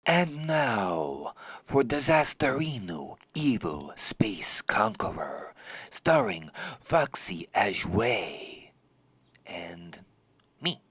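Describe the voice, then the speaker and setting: A man doing campy, playful podcast announcements in a theatrical, drawn-out way. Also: on the low side, big pitch swings, fairly bright tone, slightly rough, no room sound.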